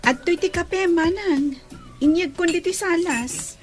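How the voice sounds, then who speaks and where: Very high-voiced, caring younger sister acted by woman. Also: measured pace, soft background music. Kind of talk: dramatic acting in an audio romance drama.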